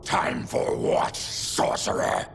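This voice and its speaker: A man playing a grim, morally outraged warrior with a gruff, gravelly voice in a cinematic video game. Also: slow, noisy background, natural voice. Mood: tense, demanding. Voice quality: very deep, gravelly.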